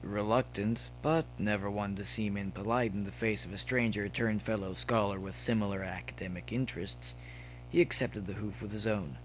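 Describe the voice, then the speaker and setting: A man's voice: on the low side, fairly even intonation, moderate pace, a bit bright, a little hiss or hum, low-quality recording. A man reading a scripted story aloud as an audiobook narrator. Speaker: audiobook reader of fan fiction.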